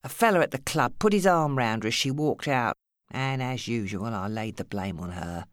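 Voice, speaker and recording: A woman's voice: rumbling low, lively intonation, normal speed, no room sound, studio quality. Woman acting a smug, menacing bully. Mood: self-pitying, contemptuous. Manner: sneering, contemptuous, hissing when angered. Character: smug, menacing, bullying male club boss. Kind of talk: audiobook character acting.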